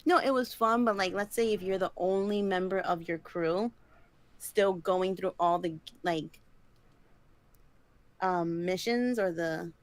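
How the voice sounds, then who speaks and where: A woman's voice: on the low side, unhurried, no room sound. A young woman co-hosting a casual podcast chat, rambling along with plenty of likes and ums and giggling often. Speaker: podcast co-host.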